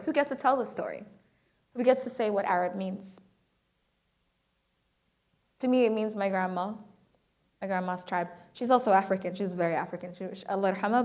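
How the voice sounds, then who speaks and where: A woman from Philadelphia speaking on a conference panel, emphatic and animated. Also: medium pitch. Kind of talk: panel discussion. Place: conference room.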